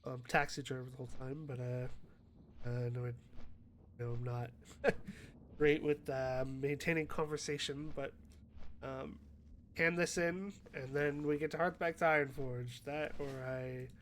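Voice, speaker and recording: A man's voice: mid-range voice, fairly even intonation, unhurried, a bit bright, slight background noise. A man with a cold, sniffly and a bit stuffed up, chatting casually and hesitantly while playing a game, with lots of fillers and restarts. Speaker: gaming YouTuber.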